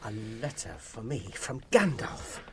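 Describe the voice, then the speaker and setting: A British actor playing an earnest, wary hobbit gentleman in a fantasy radio drama, well-spoken, polite and hesitant. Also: fairly low pitch, big pitch swings, unhurried, slight background noise, heavily acted. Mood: urgent, stern.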